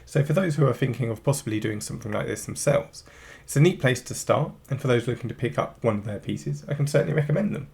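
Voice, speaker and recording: Man with a flu-roughened, gravelly voice. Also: medium pitch, lively intonation, fast talker, darkish tone. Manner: chatty, enthusiastic product talk. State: has the flu, voice a bit off. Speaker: retro gaming channel host. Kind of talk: unboxing and product overview.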